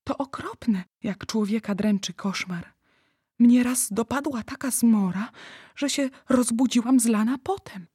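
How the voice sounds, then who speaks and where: A woman voicing a curious, timid little girl in a storybook, speaking softly and questioningly. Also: fairly high pitch, a little slow, slightly rough, no room sound, clean studio sound, pitched above the performer's own voice. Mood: uneasy. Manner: soft, questioning.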